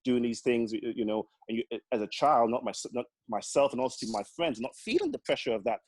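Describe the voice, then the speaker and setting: A man's voice: mid-range voice, expressive, fairly quick, darkish tone, dry recording. Caribbean accent guy, earnest, keeps restarting words, mid voice, dry sound. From Grenada. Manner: earnest, with many repeated restarts. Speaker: guest, accountant. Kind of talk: casual interview chat.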